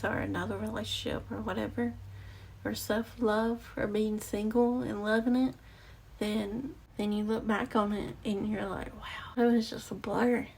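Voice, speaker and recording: A young woman with a raspy, gravelly voice tells her own story straight to her viewers. She talks in a halting, reflective way, full of fillers and restarts. Her pitch sits in a middle range, and she is close to the mic. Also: darkish tone, slight background noise, rough recording. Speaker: vlogger. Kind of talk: personal storytelling to camera. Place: outdoors or near a street with passing cars.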